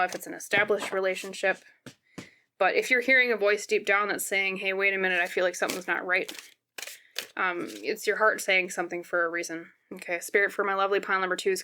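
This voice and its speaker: A woman with a slightly scratchy voice giving a casual, conversational tarot reading to her viewers, explaining gently and often checking in. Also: mid-range voice. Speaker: tarot reader, host.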